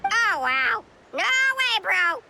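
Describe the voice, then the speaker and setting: A woman voicing a small juvenile dinosaur in a video game, a squeaky creature that parrots sassy, dismissive catchphrases. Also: very animated, heavy background noise, heavily acted. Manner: sassy, dismissive, drawn-out retorts. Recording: music behind the voice.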